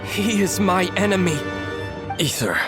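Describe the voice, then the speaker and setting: An actor playing a solemn, resolute young man who is world-weary, speaking in an earnest, measured way in a dramatic video game. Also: high-pitched, animated, over music. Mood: stern warning.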